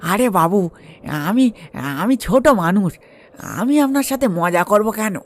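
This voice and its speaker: A man voicing a sly, obliging tea seller in a cartoon ghost story, with a servile, drawn-out, wheedling way of talking. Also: high voice, lively intonation, pitched above the performer's own voice. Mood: sly, coaxing.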